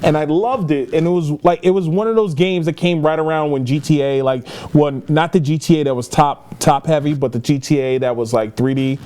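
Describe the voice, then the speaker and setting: A man's voice: fairly high pitch, fairly even intonation, brisk, hardly any pauses, dark tone. A man chatting casually and giving his opinions as a podcast host. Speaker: podcast host reviewing films and games. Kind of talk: casual chat.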